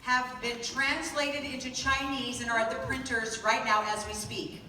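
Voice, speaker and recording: Bright-voiced woman presenting in an echoey hall. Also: high voice, little pitch movement, a little hiss or hum. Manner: upbeat, persuasive, with pauses for a live interpreter. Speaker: corporate presenter. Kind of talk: stage presentation to a sales audience. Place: large convention hall. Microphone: handheld mic, recorded from far in the audience. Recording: echoey hall taped from far off.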